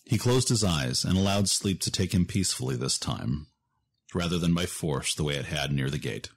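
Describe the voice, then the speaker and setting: A man's voice: deep voice, expressive, normal speed, gravelly, husky. A man narrating a scripted fantasy story as the narrator of an audio drama. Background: music.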